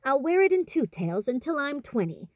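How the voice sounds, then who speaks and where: A woman's voice: high-pitched, big pitch swings, moderate pace, darkish tone, no echo, clean studio sound, voice pushed up. A woman voicing a blunt, boyish teenage tomboy in a storybook, brash and plain-spoken. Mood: defiant.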